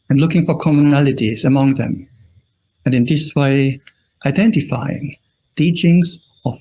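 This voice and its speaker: A man with an Austrian accent and a slightly husky voice at a middle pitch. He teaches as a Buddhist monk in an informal, conversational way, checking in with his online listeners as he goes. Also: a little mellow, poor audio. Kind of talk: informal dhamma talk to an online audience.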